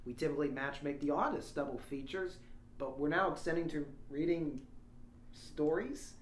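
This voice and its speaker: A man's voice: a little high, normal speed. A man hosting a podcast in a casual, rambling way, with dry, joking asides. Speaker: podcast host. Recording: echoey room, faint background noise.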